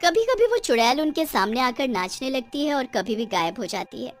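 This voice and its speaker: A woman's voice: high voice, animated, moderate pace, faint music behind. A woman reading dramatic scripted narration for a cartoon horror story.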